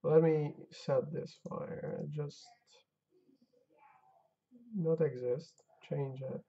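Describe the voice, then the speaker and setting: A tired game-dev streamer, his Albanian, drifts between mumbling over his code and chatting with viewers. His voice is gravelly and unhurried. The stream audio is dull and lo-fi. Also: a little high, fairly even intonation, warm tone. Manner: muttering to himself while testing, talking to chat. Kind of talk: casual live-stream chat while coding.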